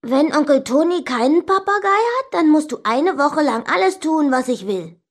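A woman's voice: sits high, normal speed. A woman voicing an indignant young child who tells stories about an older sister, expressively and straight to the listener. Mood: defiant, boastful. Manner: expressive, emphatic, addressing the listener directly. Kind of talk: scripted children's story narration.

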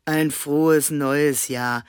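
A woman voicing a gentle, gruff, fair-minded male stuffed lion in a children's storybook, calm and mildly reproachful. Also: slow pace, no echo, studio quality. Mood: soft. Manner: calm, reproachful, low growl.

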